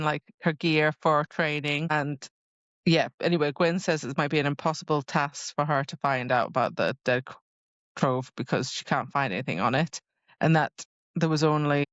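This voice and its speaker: A woman's voice: low-pitched, normal speed, no echo, dull audio. A woman co-hosting a podcast, chatting casually about a book in a giggly, conversational retelling style. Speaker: podcast co-host. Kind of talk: casual book discussion chat.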